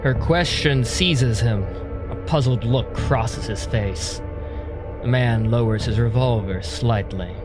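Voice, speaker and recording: A man's voice: mid-range voice, slow pace, music in the background. A man delivering scripted, dramatic narration as the storyteller of an audio drama.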